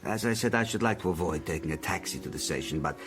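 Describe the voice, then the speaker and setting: An actor playing a fussy, courtly smuggler in a radio drama, old-fashioned, insinuating and elaborately polite. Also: male voice, low voice, expressive, fast talker, a bit bright. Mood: smooth, insinuating. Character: fussy, courtly German smuggler visiting from West Berlin.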